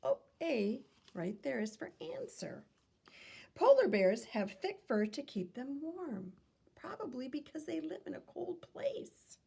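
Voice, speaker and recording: Woman, teacherly children's read-aloud, lively intonation, heavy vocal fry, instructive and questioning. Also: high voice, unhurried. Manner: instructive, posing questions to young listeners.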